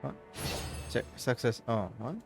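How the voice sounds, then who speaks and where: A man's voice: mid-range voice, unhurried, vocal fry, soft background music. A man giving casual gameplay commentary, thinking aloud hesitantly as he plays. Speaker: gamer playing and reacting.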